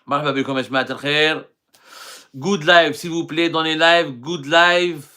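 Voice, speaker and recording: A man's voice: on the high side, steady tone, a little slow, bright, forceful tone. A middle-aged man from Algeria, a journalist hosting a live stream and answering viewer questions in an earnest way, with frequent hesitations and restarts.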